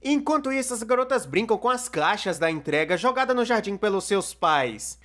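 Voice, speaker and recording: A narrator with a Brazilian accent gives scripted cartoon commentary in an expressive, conversational, comically emphatic way. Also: medium pitch. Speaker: narrator of a cartoon opinion video. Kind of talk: scripted animated commentary video narration, fan dub.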